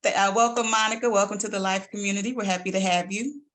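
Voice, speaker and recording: A woman's voice: mid-range voice, fairly even intonation, fast talker, fairly bright tone. A woman hosting an interview in a polite, encouraging manner. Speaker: interviewer, host. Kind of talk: interview hosting, brief responses.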